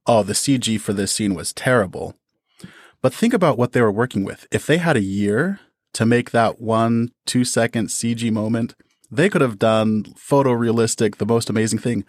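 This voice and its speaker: A man's voice: lowish voice, no echo, studio quality. A man speaking as a podcast guest, giving casual, thoughtful explanations as a creative artist. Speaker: interview guest, audio drama creator. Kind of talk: casual, reflective interview answers.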